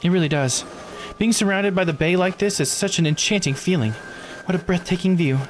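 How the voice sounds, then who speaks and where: A man voicing a bright, courteous local guide from a visual novel, reciting lore with polite enthusiasm. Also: sits high, fairly quick, voice pushed up, natural voice, poor audio. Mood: enthusiastic, admiring. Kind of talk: visual novel character read aloud. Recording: game music under the voice.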